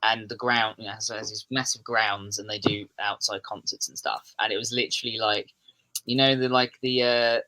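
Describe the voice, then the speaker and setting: A man's voice: on the low side, level tone, normal speed, bright, forceful tone, rough recording. A man from southeast England with an English accent, a podcast guest chatting casually in a rambling, self-deprecating way that keeps restarting sentences. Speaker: podcast guest, metal musician.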